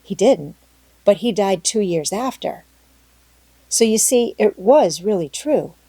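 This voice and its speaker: A woman playing a dramatic, excitable young girl. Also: mid-range voice, takes it slowly, husky, no room sound, exaggerated voice. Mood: eager, insistent. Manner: breathless, dramatic, talkative. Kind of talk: storybook character voice in audiobook.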